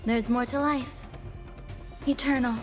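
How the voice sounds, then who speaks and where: A woman's voice: high voice, fairly even intonation, a little slow. A woman acting a serene, solemn young warrior in a video game, with composed, poetic delivery. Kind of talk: video game battle lines. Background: loud game music.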